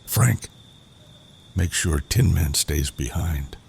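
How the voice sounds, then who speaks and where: A man playing a gruff, menacing gang leader in an audio comic, giving curt, commanding lines in a gravelly voice. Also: rumbling low, animated, takes it slowly, a little mellow, full character voice. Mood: cold menace. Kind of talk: audio comic dramatic acting. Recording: clearly noisy track with night crickets.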